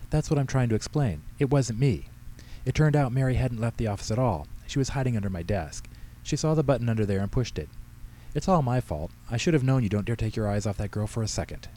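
A man's voice: medium pitch, animated, fast talker, warm tone, pitched above the performer's own voice, lightly acted, rough recording. Man voicing a nervous, chatty middle-aged church lady. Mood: defensive. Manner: chatty, anxious, apologetic explaining.

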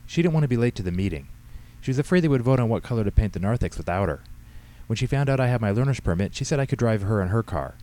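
A man's voice: fairly low pitch, expressive, quick pace, mellow, voice pushed up, natural voice. A man voicing an indignant, defensive teenage girl who protests insistently in an audiobook story. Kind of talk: audiobook character dialogue.

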